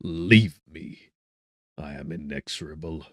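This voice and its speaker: A man playing an anguished, defiant scientist confronting his creation in a forceful, declamatory audiobook performance. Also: extremely low pitch, slow pace, no echo, studio quality, full character voice. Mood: defiant anger.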